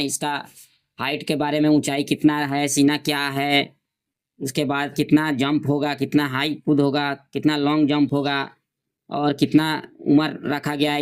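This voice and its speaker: A man giving an informal screen-share tutorial, repeating himself as he reads out notice details and instructions. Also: a little high. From India. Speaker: online centre presenter explaining job notifications.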